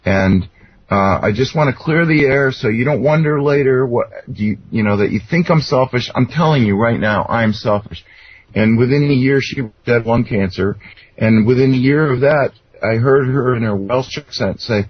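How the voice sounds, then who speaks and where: A middle-aged or older man with a husky voice, telling personal stories in an earnest, rambling, explanatory way as an interview guest. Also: medium pitch, normal speed, dry recording, dull audio. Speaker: interview guest, self-described contactee. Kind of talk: conversational personal storytelling.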